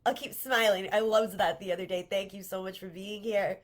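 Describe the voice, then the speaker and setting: This is a woman with a head cold, her voice congested as she hosts a live-streamed coaching workshop. She speaks earnestly and encouragingly at a middling pitch, often doubling back on her words. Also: steady tone, brisk. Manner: warm, earnest and encouraging, with repeated restarts. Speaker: manifestation coach hosting a livestream workshop. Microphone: livestream camera mic.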